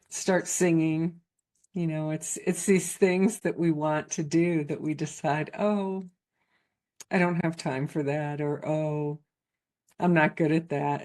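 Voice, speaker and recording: A woman co-hosting a spiritual talk, speaking in a gentle, encouraging way. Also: sits low. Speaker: co-host. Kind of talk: spiritual chat.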